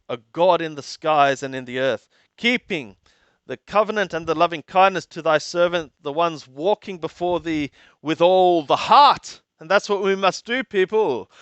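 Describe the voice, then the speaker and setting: A man reading scripture aloud and translating it phrase by phrase in a deliberate, halting manner, often repeating and rephrasing. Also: fairly high pitch, fairly bright tone, smooth, no echo, dull audio.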